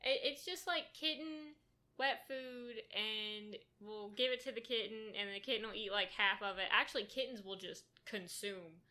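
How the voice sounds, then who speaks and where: A soft-spoken woman co-hosting a casual podcast from a kitchen table at home. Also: mid-range voice, normal speed. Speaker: podcast co-host. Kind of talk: casual chat.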